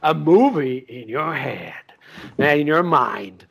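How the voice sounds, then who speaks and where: High-pitched Brazilian man hosting a podcast. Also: animated, slow, vocal fry, a bit bright, husky. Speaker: podcast host. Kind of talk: casual interview chat.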